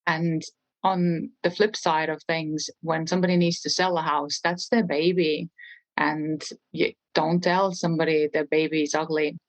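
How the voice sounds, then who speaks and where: A woman's voice: sits low, little pitch movement, normal speed, dry recording. A middle-aged woman from Estonia with an Estonian accent, talking conversationally as an interview guest. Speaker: interview guest, real estate trainer.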